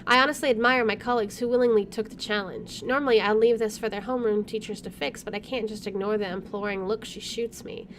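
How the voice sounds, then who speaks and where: A woman's voice: medium pitch, steady tone, fast talker, hardly any pauses, a bit bright, slight background noise. A woman hosting a gaming video, reading visual novel narration aloud with casual asides.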